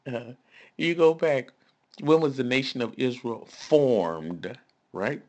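A man preaching a conversational sermon in an emphatic, folksy way, often checking in with the listener with quick rhetorical questions. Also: medium pitch, darkish tone. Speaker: pastor.

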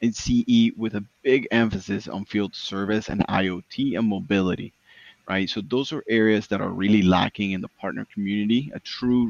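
A man's voice: fairly low pitch, vocal fry, no room sound, muffled recording. A man who founded a consulting firm, talking earnestly in an interview and often adding "right?". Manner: earnest, repeats words, tag "right?". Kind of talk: business interview.

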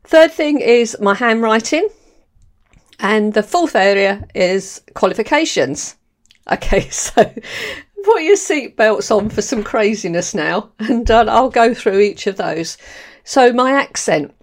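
A woman's voice: fairly high pitch, takes it slowly. An older woman with a London accent hosting her podcast, talking candidly with frequent laughs. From London, England. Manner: candid, animated, laughs often. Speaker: podcast host. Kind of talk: personal monologue.

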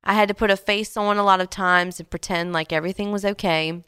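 Late-20s woman doing a faith podcast, kinda stuffy from a cold, soft and earnest, smooth voice. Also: mid-range voice, fairly even intonation, dry recording, clean studio sound. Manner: gentle and earnest, with soft pauses and fillers. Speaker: podcast host. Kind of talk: devotional podcast talk. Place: Houston, Texas.

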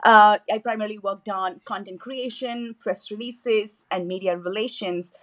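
A woman's voice: medium pitch, fairly even intonation, moderate pace, fairly bright tone. Eager woman podcast guest. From southern India. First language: Tamil. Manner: enthusiastic, with frequent fillers and restarts. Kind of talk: podcast interview.

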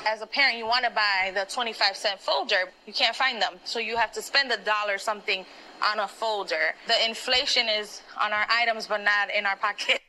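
Female news interviewee, parent, bright and forceful, mid-range pitch, some background ambience. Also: a little fast. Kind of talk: street interview soundbite. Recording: clearly noisy location news clip.

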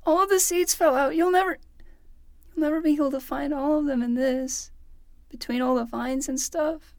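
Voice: high voice, steady tone, moderate pace, a little mellow, no echo, studio quality. An actor playing a dramatic twelve-year-old boy who talks like a self-narrating hard-boiled private detective in a fiction podcast. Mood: crestfallen. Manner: theatrical, self-narrating in third person.

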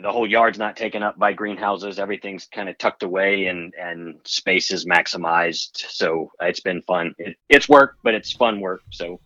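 Deep-voiced man on dull remote audio. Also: moderate pace, few pauses, dry recording. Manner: relaxed, explanatory, frequent restarts. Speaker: podcast guest, plant grower. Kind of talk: casual interview talk.

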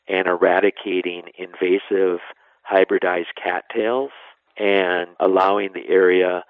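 A low-pitched man with a creaky, gravelly edge explains things as an expert guest in an interview. His phrasing is informal and sometimes trails away at the end. The sound is dry and a little dull, like a band-limited radio interview. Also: no echo. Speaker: national park superintendent, interview guest.

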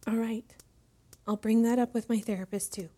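A woman's voice: a little high, fairly even intonation, moderate pace, dark tone, lightly acted. A woman playing an anxious protegee eager to please in an audio drama, hesitant, earnest and apologetic.

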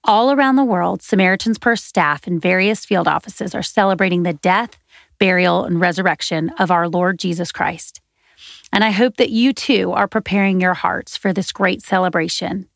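A woman hosts a faith podcast, her voice gravelly with a little vocal fry. She reads her script earnestly and steadily, close and dry with no room sound. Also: fairly low pitch, moderate pace, no room sound. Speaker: podcast host.

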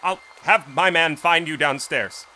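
An actor in an audio drama playing a proud, suspicious, dismissive official at a powerful court. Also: male voice, a little high, a little slow, pushed and bright, slight background noise. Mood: indignant. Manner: imperious, dismissive, brooding.

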